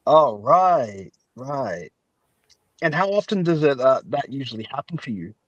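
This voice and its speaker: A man's voice: mid-range voice, very animated, measured pace, muffled recording. A man hosting a casual podcast interview, chatty and often echoing back what his guest has said. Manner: chatty, rephrases and echoes guest's answers.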